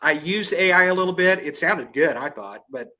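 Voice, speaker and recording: Guy hosting a podcast, pretty high voice, stumbles and repeats words. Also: lively intonation, moderate pace, pushed and bright. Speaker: podcast host. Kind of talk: casual podcast interview.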